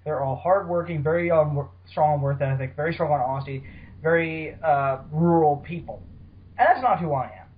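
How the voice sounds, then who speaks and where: A man's voice: fairly high pitch, moderate pace, a little hiss or hum. A man hosting a casual fan discussion podcast, talking in an informal, rambling way. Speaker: podcast host. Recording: dull, lo-fi voice call audio.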